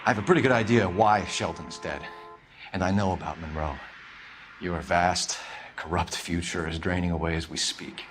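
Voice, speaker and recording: An actor playing an intense, righteous police detective with a forceful, commanding manner in a video game cutscene. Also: male voice, low voice, normal speed, background noise, natural voice. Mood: cold, threatening.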